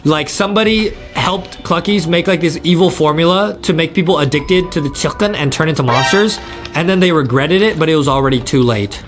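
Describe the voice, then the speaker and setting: A young man giving excited, repetitive gameplay commentary to his viewers as a video host. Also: on the high side, fairly quick. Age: young adult. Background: faint music.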